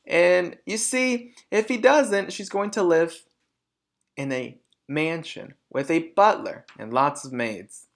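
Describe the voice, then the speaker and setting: A man's voice: fairly high pitch, takes it slowly, creaky, pitched above the performer's own voice. A man voicing a vain, smug, scornful mother in a storybook, with brash and emphatic phrasing.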